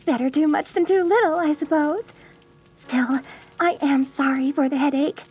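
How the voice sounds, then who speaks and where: A woman playing a sweetly deranged, lovestruck mortician bride in a dark comedy audio roleplay, cooing genteel endearments at the listener with theatrical menace. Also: high-pitched, normal speed, faint music behind, lightly acted. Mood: cheerfully menacing.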